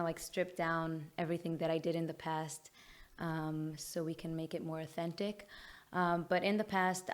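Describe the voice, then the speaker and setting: Slow, measured Israeli-accented woman. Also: on the low side. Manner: thoughtful, frequent "um" pauses. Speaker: singer-songwriter guest. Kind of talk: interview answers.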